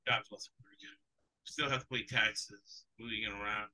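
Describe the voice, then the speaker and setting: Male betting-show host, second-language English speaker, very bright tone, rambling asides. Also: on the low side, fairly even intonation, moderate pace, low-quality recording. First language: not English. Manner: rambling, digressive, chuckles often. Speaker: sports betting podcast host. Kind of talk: casual betting talk.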